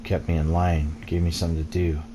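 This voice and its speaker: A man reading a first-person story aloud in a measured, brooding, dramatic way, as narration for a video game playthrough. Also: sits low, level tone, noisy background. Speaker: let's play gamer reading game text aloud.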